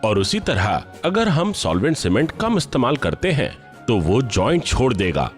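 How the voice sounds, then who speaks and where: A man delivering scripted commercial and narration voice-over in a polished, deliberate, dramatic way. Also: mid-range voice, sing-song. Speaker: voice-over artist. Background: music bed.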